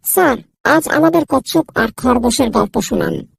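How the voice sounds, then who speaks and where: Cartoon schoolchild, adult female actor: eager, wheedling, heavily gravelly and husky voice. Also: sits high, expressive, normal speed, no room sound, full character voice. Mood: pleading. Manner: wheedling, pleading. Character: eager, pleading young school pupil. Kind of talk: children's cartoon voice acting.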